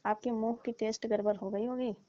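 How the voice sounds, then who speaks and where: A woman's voice: fairly high pitch, steady tone, brisk, warm tone, lightly acted. A woman playing a chatty, defensive fruit-seller auntie in a comic phone call, talking colloquially and repeating herself. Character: chatty, defensive middle-aged auntie who sells fruit. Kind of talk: staged comic phone conversation, casual chat. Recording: muffled lo-fi call recording with hiss.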